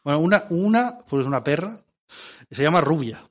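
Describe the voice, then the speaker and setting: A man's voice: on the high side, lively intonation, moderate pace. A man from Spain with a Spanish accent doing joking radio chat, theatrical and repeating himself for emphasis. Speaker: comic regular of a radio show segment.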